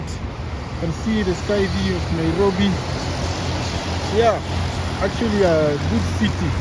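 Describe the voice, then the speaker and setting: A young Kenyan man with a Kenyan accent, chatting casually and rambling a bit as he vlogs outdoors in the city. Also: sits high. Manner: chatty, rambling, self-correcting. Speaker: vlogger. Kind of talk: casual walking vlog. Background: traffic noise.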